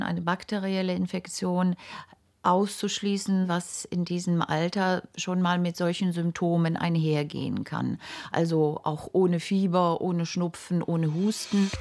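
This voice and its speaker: A woman's voice: fairly low pitch, a little mellow. A woman doctor explaining medical matters in a documentary interview. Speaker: paediatrician interviewed.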